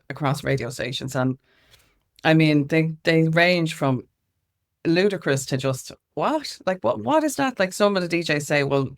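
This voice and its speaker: A woman with an Irish accent speaking informally and emphatically as an interview guest, telling anecdotes and acting out what others said. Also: low-pitched. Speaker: interview guest, music consultant and campaigner. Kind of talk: conversational interview with animated anecdotes.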